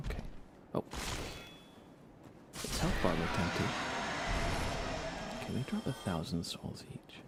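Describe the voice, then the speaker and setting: A man softly talking to himself while livestreaming a video game in a hushed, casual ASMR style, hesitant and musing. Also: low-pitched, very animated, measured pace, pauses often, very noisy. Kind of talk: ASMR gaming commentary, hushed casual chat.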